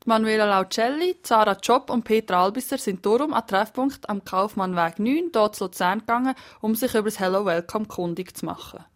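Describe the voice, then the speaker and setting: Young female presenter, scripted news introduction, medium-pitched voice. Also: a little fast, few pauses. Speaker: presenter, student teacher.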